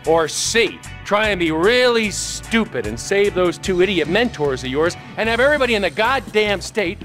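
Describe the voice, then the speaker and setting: An actor playing a smug, ruthless billionaire tycoon in a video game cutscene, smooth and condescending. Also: male voice, high-pitched, sing-song, normal speed, a bit bright. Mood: taunting. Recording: game audio under music.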